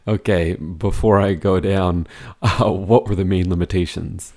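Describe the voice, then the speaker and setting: A man's voice: deep voice, steady tone, a little slow, darkish tone. A man hosting a medical podcast, asking questions and joking wryly. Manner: wry, joking, asks questions. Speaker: podcast host.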